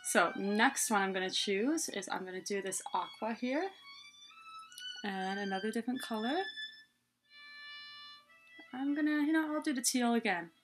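A woman's voice: on the high side, lively intonation, moderate pace, pauses often, soft background music, low-quality recording. A young woman talking casually and chattily through a hands-on tutorial, explaining step by step as its host. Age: young adult. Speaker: head scarf tutorial host. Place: small room indoors, plain wall.

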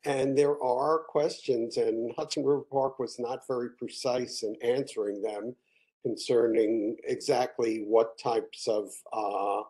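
A man presenting a resolution in a video-call board meeting, measured and explanatory with frequent fillers. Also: mid-range voice, fairly even intonation, dark tone, low-quality recording. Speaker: committee chair presenting a resolution.

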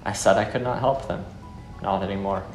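A man playing a weary, brooding former soldier turned fisherman in a visual novel, speaking in a solemn, earnest, measured way. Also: low voice, fairly even intonation. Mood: regretful, weary. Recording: echoey room under music.

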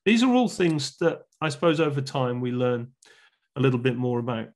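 A man's voice: medium pitch, big pitch swings, moderate pace. A middle-aged man, a church leader giving an earnest teaching talk from a room at home, speaking into a wired earphone mic on a video call. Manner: earnest, explanatory, gestures with both hands.

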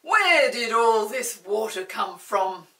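A woman voicing a loud, fussy grown man in a bathtub from a picture book, bellowing his words out slowly. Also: a little high, very animated, exaggerated voice. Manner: bellowing, drawn out. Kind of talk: storybook read-aloud. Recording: roomy, distant mic, faint hiss.

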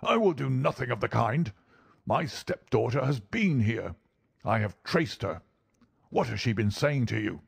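A man's voice: medium pitch, sing-song, measured pace, gravelly, husky, dry recording, clean studio sound, full character voice. A man voicing a huge, menacing old doctor, a furious bully who snarls threats and insults in a storybook reading. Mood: angry, demanding. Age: older.

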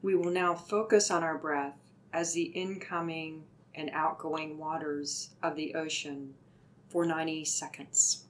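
A woman leading a guided meditation with soothing, deliberate, gently instructive delivery. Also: low-pitched, steady tone, a little hiss or hum, low-quality recording.

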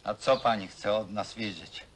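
Aggrieved male builder, played by an actor: lowish voice, grumbling, plain speech, old film with faint noise. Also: normal speed, lightly acted. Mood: curious. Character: aggrieved, plain country-born builder living in workers' hostel. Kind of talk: naturalistic film acting.

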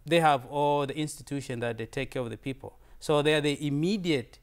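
A man's voice: fairly high pitch, brisk. A man in his thirties from Tanzania, with a Tanzanian accent and Swahili as his first language, gives an informal slide presentation in an earnest way, often repeating words and restarting. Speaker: visiting fellow presenter.

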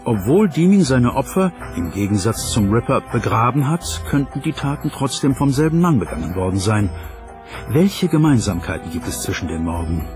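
A man's voice: mid-range voice, big pitch swings, normal speed, natural voice. A man doing a scripted documentary voice-over as an inquisitive investigator reasoning through evidence. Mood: inquisitive, serious. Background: background music.

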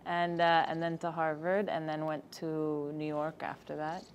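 A woman in her thirties answers interview questions about her work, thoughtful and a little halting as she recounts her path. Her voice sits low for a woman, with a faint hum of room noise underneath. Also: completely flat delivery. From Egypt. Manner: thoughtful, slightly halting storytelling with restarts. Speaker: guest filmmaker. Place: studio set with screens behind.

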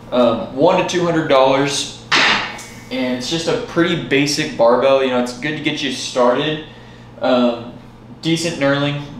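Brief: young male fitness creator, easygoing and rambly, mid-pitched voice, roomy home gym sound with faint hiss. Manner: relaxed, rambling, many "um"s and restarts. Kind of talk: casual home gym tour. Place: small home gym room, indoors. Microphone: camera on tripod at a few feet. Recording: echoey small room.

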